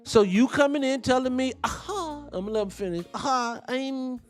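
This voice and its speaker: A man's voice: very high voice, moderate pace, faint music behind. Fired-up man ranting, swearing freely. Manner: profane, animated, ranting with tangents. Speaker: host. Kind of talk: heated casual commentary.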